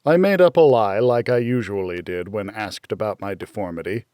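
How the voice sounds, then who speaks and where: A man reading first-person fiction as an audiobook narrator, in a measured, dramatic storytelling manner. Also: fairly low pitch, darkish tone, smooth, dry recording, studio quality.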